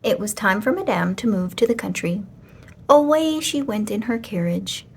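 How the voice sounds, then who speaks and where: A woman reading a children's story aloud as an expressive storyteller. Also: mid-range voice, normal speed, vocal fry, slightly rough.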